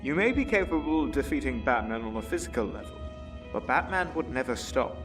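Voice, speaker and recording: An actor playing a calm, retired older butler and mentor in an audio drama, formal, dry and measured. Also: male voice, mid-range voice, distant mic, music in the background, close to an everyday voice. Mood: grave, earnest.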